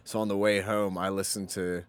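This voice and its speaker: A young adult man co-hosting a podcast, telling rambling, self-deprecating stories with casual swearing in an animated way. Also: sits low, moderate pace, clean tone. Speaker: podcast co-host. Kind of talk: casual banter and storytelling.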